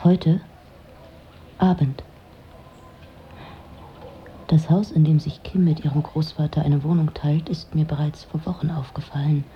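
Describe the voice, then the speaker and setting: Low-voiced, sardonic, disillusioned middle-aged woman. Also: steady tone, measured pace, long pauses, highs cut off, a little hiss or hum. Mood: brooding, reflective. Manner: dry, sardonic, brooding monologue. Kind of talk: literary radio drama monologue.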